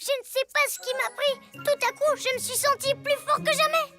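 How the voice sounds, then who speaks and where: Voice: extremely high pitch, steady tone, a little fast. A performer voicing an earnest six-year-old boy superhero in a cartoon dub, exclamatory and determined. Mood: bold. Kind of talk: animated cartoon dub acting. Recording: loud music behind the voice. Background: loud cartoon score.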